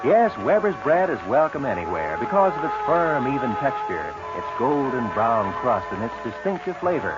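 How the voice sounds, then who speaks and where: A man's voice: medium pitch, big pitch swings, normal speed, few pauses. A man reading a scripted 1940s radio advertisement as a polished announcer. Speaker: commercial announcer. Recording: muffled 1940s radio recording, music behind.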